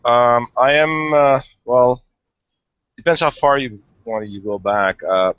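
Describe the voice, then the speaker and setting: A man's voice: medium pitch, takes it slowly, clear, resonant tone, no room sound. A Hungarian-born man chatting casually in an online hangout, rambling and hesitant, swearing often. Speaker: guest caller. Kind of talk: casual chat. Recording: lo-fi online call audio.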